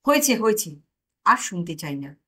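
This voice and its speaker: A woman's voice: mid-range voice, big pitch swings, normal speed, a bit bright, husky, pitched below the performer's own voice. A woman playing an exasperated, sarcastic protagonist of a comic story, arguing in an indignant, wry way. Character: exasperated, sarcastic narrator confronted by own conscience.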